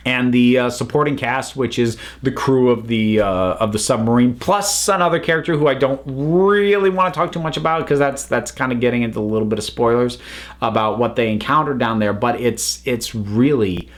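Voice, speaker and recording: A man's voice: mid-range voice, normal speed. A man hosting a review video, talking casually to viewers and leaning on repeated words for emphasis.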